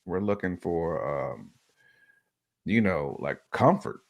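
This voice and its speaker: A man's voice: low-pitched, big pitch swings, slow, vocal fry. An American man chatting casually on a relationship podcast, using slang and repeating phrases for emphasis.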